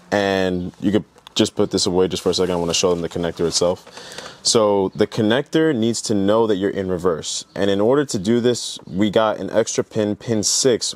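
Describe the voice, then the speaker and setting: Low-voiced enthusiastic man hosting a vlog. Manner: enthusiastic, informal. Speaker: car vlog host. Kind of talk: casual hands-on tutorial vlog.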